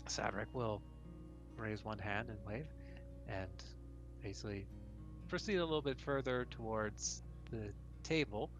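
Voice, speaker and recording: A man's voice: lowish voice, measured pace, over music. A man improvising descriptive narration in a tabletop role-playing game, feeling his way through the scene. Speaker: game player.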